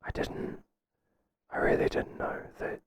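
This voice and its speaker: A man playing a flustered but principled boss in an ASMR roleplay, speaking hesitantly in a breathy whisper. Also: natural voice. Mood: apologetic, uneasy. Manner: hesitant, halting, gently firm. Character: flustered, professional male boss, kind but firm. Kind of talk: whispered ASMR roleplay, intimate second-person acting.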